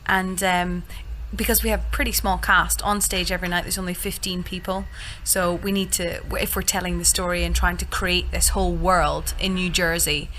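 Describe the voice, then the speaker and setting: A woman's voice: fairly low pitch, level tone, normal speed, hardly any pauses, fairly bright tone, a little hiss or hum, rough recording. A woman from Scotland, a musical theatre actress talking upbeat about her work. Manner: friendly, upbeat.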